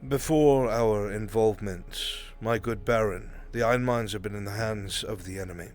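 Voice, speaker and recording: Man playing a low-voiced, formal fantasy military lord. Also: a little mellow, faint music behind, natural voice. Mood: earnest, insistent. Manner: formal, measured, courtly deference. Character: proud, dutiful military lord reporting to barons. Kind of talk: improvised fantasy roleplay.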